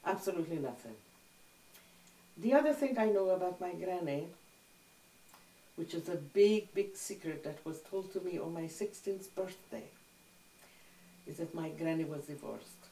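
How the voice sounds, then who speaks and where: An older woman whose first language is Hungarian, calmly recalling her childhood in an oral history interview. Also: on the low side, moderate pace, pauses often. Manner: reflective, plain-spoken storytelling. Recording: roomy sound from a distant mic.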